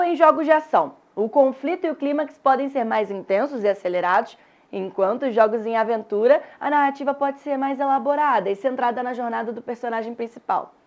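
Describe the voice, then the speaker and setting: Brazilian portuguese woman, higher pitched voice, reading out an online lesson, teachery and talking right to students. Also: darkish tone. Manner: didactic, addresses students directly. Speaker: teacher presenting an online course. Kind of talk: scripted lesson narration.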